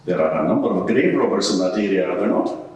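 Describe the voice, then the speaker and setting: A man acts out a sceptical advocate in a courtroom drama. He presses with pointed, leading questions in a lively, swooping delivery. The sound is distant and heavily reverberant, as in a big hall. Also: deep voice, fast talker, dull audio. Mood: probing. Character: probing, sceptical male advocate cross-examining a witness. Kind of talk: dramatised courtroom cross-examination. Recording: echoey hall, distant microphone.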